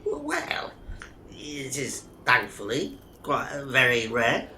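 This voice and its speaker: A man's voice: mid-range voice, huge pitch swings, slow, bright, forceful tone, a little hiss or hum, voice pushed down, heavily acted. A man voicing a timid, naive young zoo employee in a comic audio drama, hesitant, earnest and deferential. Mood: nervous, puzzled.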